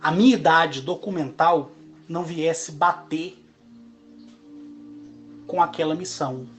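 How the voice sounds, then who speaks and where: A Brazilian man in his forties, speaking in a slow, emphatic monologue broken into short phrases. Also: fairly high pitch, muffled recording, faint music behind. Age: 40s. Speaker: channel host. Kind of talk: monologue to camera.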